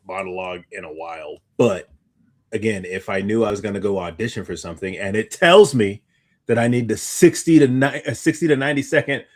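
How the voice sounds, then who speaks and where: Male podcast co-host, slightly low register, informal anecdotal delivery, rambling casual chat.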